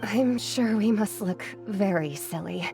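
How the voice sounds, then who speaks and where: A woman's voice: medium pitch, a little slow, creaky, over music. A woman playing a patient, apologetic young female scholar in a video game, courteous and formal. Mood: explaining. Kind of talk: video game character acting.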